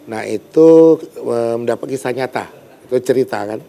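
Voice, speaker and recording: A man's voice: medium pitch, normal speed, creaky, dark tone. A man who is a storyteller and teacher, explaining hesitantly in a TV studio interview and searching for words with many fillers.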